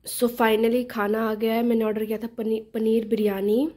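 A woman's voice: a little high, flat delivery, normal speed, warm tone. A young woman, a travel vlogger, chatting casually to camera and mixing English phrases into her talk, recorded on a phone while on the move. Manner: chatty, mixes English into Hindi, frequent fillers. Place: on the move: train, hotel room, outdoor park and lakeside street. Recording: phone recording with traffic and street noise.

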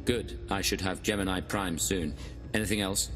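A man playing a cool-headed, cocky mercenary in a video game, gravelly, dry and confident. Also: deep voice, fairly even intonation, normal speed, over music, close to an everyday voice. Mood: businesslike. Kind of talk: video game cutscene acting.

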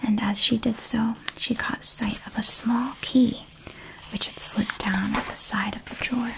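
Woman, whispered ASMR storytelling, very slow, gravelly texture, calm. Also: fairly high pitch, low-quality recording. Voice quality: soft whisper.

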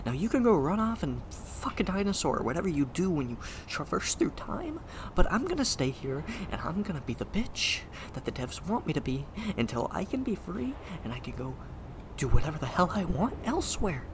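A woman's voice: low voice, very animated, brisk, noisy background. A woman acting in an audio drama as a stammering, exasperated, slangy, foul-mouthed superpowered slacker who follows the rules reluctantly. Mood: exasperated, defiant.

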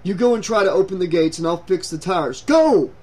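A man voicing a hot-headed, frightened young man in a story, snapping and shouting in frustration. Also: fairly high pitch, a little slow, natural voice. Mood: urgent.

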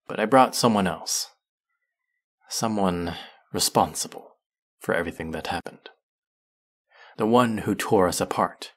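A man playing a bitter, menacing fantasy villain in an audio roleplay, with a gravelly, husky voice and a sardonic edge. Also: low-pitched, heavily acted. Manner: menacing, sardonic, slow and deliberate. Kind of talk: dramatic audio roleplay acting addressed to the listener.

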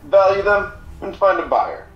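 A man playing a cryptic alien fence and trader in an audio drama, speaking in halting, deliberate riddles. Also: high voice, expressive, roomy sound, dull audio, faint music behind. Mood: mysterious, scheming.

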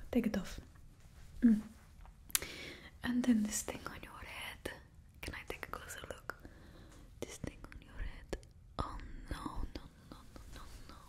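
Girl doing a fake russian villain stylist, super breathy whisper, high and slow, all fussy and dramatic. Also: a little mellow. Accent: put-on Russian. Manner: fussy, theatrical, doting. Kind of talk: ASMR roleplay. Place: small indoor studio, painted backdrop. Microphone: close studio mic.

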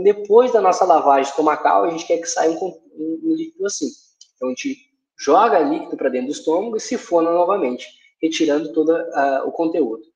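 A Brazilian man with a slightly high voice explains clinical material as a lecturing veterinarian. His delivery is halting, with frequent small check-ins to the listener. The sound is quite echoey, as from a room on a livestream. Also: darkish tone. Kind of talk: explanatory online lecture with slides. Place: indoor room, online livestream.

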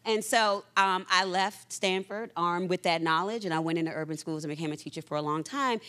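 A woman in her forties moderating a panel on a theater stage, speaking into a handheld mic with a loud, conversational voice and frequent fillers. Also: lowish voice, brisk.

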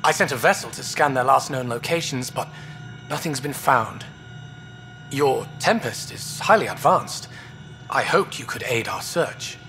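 Scholarly male alien stargazer for a game: formal, reverent, clear-edged bright tone, music underneath. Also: medium pitch, animated, rough recording. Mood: earnest, concerned. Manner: formal, measured, reverent phrasing. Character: courteous, earnest male alien astronomer, awed and scholarly. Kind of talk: video game dialogue acting.

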